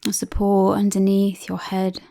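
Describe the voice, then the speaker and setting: A woman leading a guided relaxation, speaking softly and breathily, often near a whisper, in a calm, soothing way with long pauses. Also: medium pitch, gravelly.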